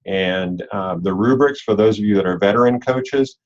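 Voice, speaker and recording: A man's voice: lowish voice, moderate pace. A man giving an informal, explanatory walkthrough as an organizer on a conference call, repeating key points for emphasis. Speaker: robotics league organizer and coach leading a call. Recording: dull, lo-fi online call audio.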